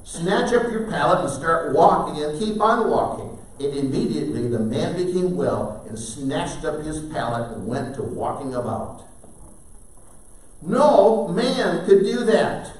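An older man preaching a sermon from a pulpit, animated, with sudden loud bursts. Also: sits high. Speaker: pastor. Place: church sanctuary. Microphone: far camera, preaching at a wooden pulpit. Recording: very echoey sanctuary heard from a distance.

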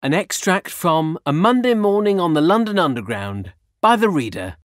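A male voice actor giving a theatrical, clearly articulated reading of poetry and literature. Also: high voice, sing-song, moderate pace, no echo, clean studio sound.

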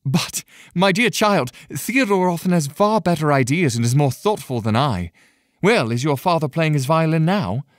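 A man playing a polite, evasive young gentleman officer in an audio stage play, courteous and reserved in manner. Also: on the high side, animated, normal speed, no room sound, clean studio sound, close to an everyday voice. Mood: affectionate.

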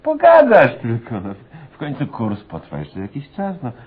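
A man's voice: medium pitch, huge pitch swings, moderate pace, slightly rough. An actor playing a sly male classmate in a radio comedy, needling a newcomer in a colloquial, mock-ceremonious way. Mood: sly teasing. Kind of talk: satirical radio comedy acting. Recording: muffled, phone-like old radio recording.

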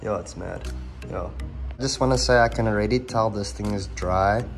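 A man's voice: lowish voice, normal speed, vocal fry, faint music behind. A young man from South Africa talks through a food tasting in an easygoing, slangy way. He is filmed on a handheld phone camera as he eats and reacts. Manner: laid-back, slangy, enthusiastic. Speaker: vlogger. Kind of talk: casual food tasting chat. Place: restaurant, then home living room.

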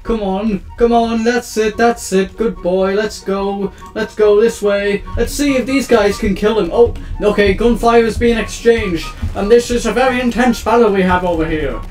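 An excitable young man talks over his gameplay in a high, light voice, rambling and breaking into panicked exclamations. His mic sits a little far off in a roomy space, and soft guitar music plays underneath. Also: normal speed, few pauses. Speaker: gaming YouTuber. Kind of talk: casual gameplay commentary.

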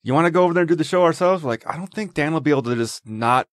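A man co-hosting a podcast in relaxed casual chat. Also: a little high, racing, slight background noise. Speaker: podcast co-host.

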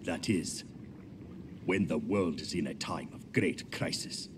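A solemn old sage in a video game. His low voice tells things gravely and in measured phrases, set far off amid clear noise. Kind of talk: video game cutscene acting. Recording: distant voice, clearly noisy ambience.

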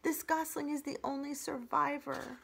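A woman's voice: high-pitched, fairly even intonation, moderate pace, gravelly, a bit bright, voice pushed up, full character voice. A woman voicing a friendly female robot in a storybook, speaking in a cheerful, polite, formal and earnest way. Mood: matter-of-fact.

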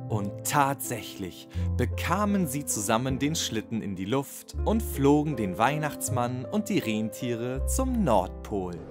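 Storyteller reading a kids bedtime tale, slow and very expressive, music behind. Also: medium pitch. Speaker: narrator. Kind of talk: scripted children's bedtime story narration.

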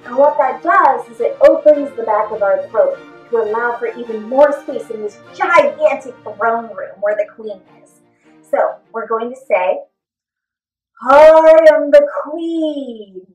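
A woman in her thirties giving an encouraging, animated lesson for children as a theatre performer. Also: on the high side, normal speed. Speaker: theatre performer teaching character voices. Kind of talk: instructional video for children. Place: small indoor room. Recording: echoey room, faint music.

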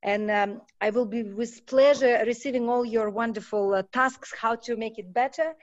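Animated woman panelist from Kyrgyzstan, bright and high, dull webcam sound. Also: normal speed. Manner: animated, emphatic, non-native phrasing. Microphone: webcam on video call. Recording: muffled video call audio.

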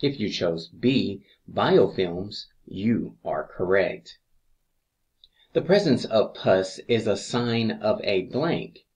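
A man reading scripted exam review questions as an instructor, deliberately, pausing between each answer choice. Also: on the low side, expressive, a little mellow, highs cut off.

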